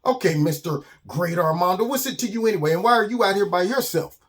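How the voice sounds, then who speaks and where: A man's voice: high voice, lively intonation, quick pace, a bit bright, voice pushed up. A man voicing a scrawny, timid but plucky young bird in a children's story, hesitant and defensive in a casual way. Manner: hesitant, casual, exasperated protesting.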